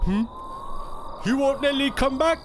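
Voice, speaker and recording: A timid, childlike young drifter speaks slowly and haltingly in an audio drama. The phrasing is simple and meek, over faint night ambience. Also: male voice, very high-pitched, slight background noise. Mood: grateful.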